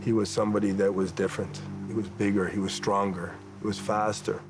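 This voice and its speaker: A man's voice: on the low side, flat delivery, fairly quick, over music. A man recalling a young player and his family as a soccer coach in an interview. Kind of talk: interview recollection.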